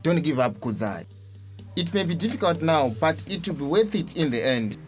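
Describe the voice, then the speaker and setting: An actor playing a supportive, encouraging boyfriend in a radio drama, with an earnest, reassuring manner. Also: male voice, fairly high pitch, lively intonation, normal speed, soft background music, natural voice.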